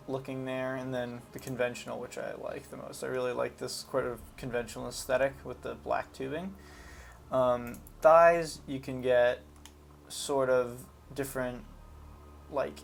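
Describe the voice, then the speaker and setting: Casual man with a creaky voice. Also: medium pitch, a little hiss or hum. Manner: informal, hesitant, thinking aloud. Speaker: gaming video host. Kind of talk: casual unscripted game commentary.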